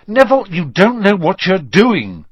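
Male narrator as blunt storybook schoolboy, exasperated, impatient, pitched up. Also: very animated, a little slow, husky, dry recording, exaggerated voice.

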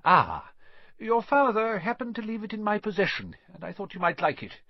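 A man's voice: high voice, sing-song, dry recording, dull audio, pitched above the performer's own voice, exaggerated voice. A man voicing a genial, elderly wizard headmaster in an audiobook, whimsical and dreamily self-amused. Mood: gentle, musing. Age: older. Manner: dreamy, unhurried musing. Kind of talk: audiobook character voice.

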